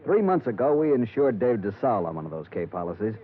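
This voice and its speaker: A man's voice: on the low side, big pitch swings, quick pace. A man acting a worn-out, stubborn and suspicious insurance boss in a 1950s radio drama, grumbling and insistent. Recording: tinny, band-limited 1950s radio recording.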